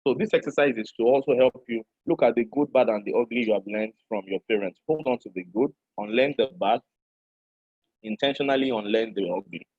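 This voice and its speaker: A middle-aged Nigerian man, a public speaker, giving an animated, joking seminar lecture and addressing his class directly. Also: mid-range voice, a little fast, breathy edge, dry recording. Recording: dull, dry lo-fi recording.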